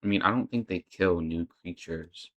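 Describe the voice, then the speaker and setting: A man's voice: low voice, normal speed, highs cut off. A man hosting a reaction video, giving casual off-the-cuff commentary as he watches.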